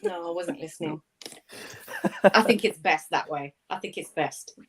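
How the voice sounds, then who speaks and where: Young woman, quick teasing banter, bouncy higher pitch, light noise behind. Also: wildly swooping pitch, vocal fry. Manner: teasing, playful. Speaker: panelist. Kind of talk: casual banter on a live panel.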